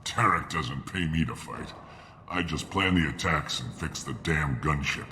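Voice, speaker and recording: An actor playing a gruff, cocky mercenary mechanic sergeant in a video game cutscene. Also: male voice, very deep voice, measured pace, sharp tone, slight background noise, full character voice. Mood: brash, confident. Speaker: mercenary gunship mechanic and planner.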